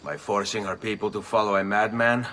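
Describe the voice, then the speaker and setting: An actor playing a grave, steely Italian assassin in a video game, speaking in a measured, solemn way with an Italian accent. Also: male voice, on the low side, little pitch movement, sharp tone. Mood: stern, accusing. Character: grave, steely male assassin avenging his father, Italian. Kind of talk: dramatic video game acting. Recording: clear background ambience noise.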